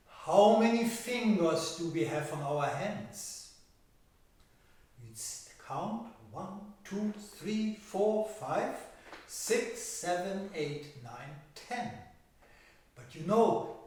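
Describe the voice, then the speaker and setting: A man tells a children's story at an extremely slow, deliberate pace, in simple phrases with questions for his listeners. His voice sits a little high for a man. It comes from well away from the microphone, in a very reverberant room. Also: a little mellow. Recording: very echoey room, far from the mic.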